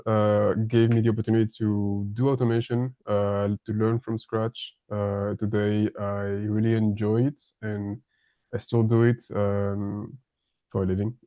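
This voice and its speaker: A man in his twenties from France introducing himself in a casual video meeting, talking haltingly with many fillers and small chuckles. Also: fairly low pitch, a little mellow, dry recording. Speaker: embedded systems engineer introducing himself.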